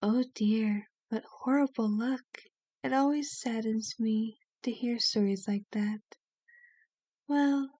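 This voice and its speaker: Girl doing a gentle lady vampire for asmr roleplay, kinda high soft voice, very close dry sound, soothing and proper. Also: no room sound. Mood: gentle, welcoming. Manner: gentle, soothing, formal and genteel wording. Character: kind, genteel female vampire, ageless, soothing and possessive.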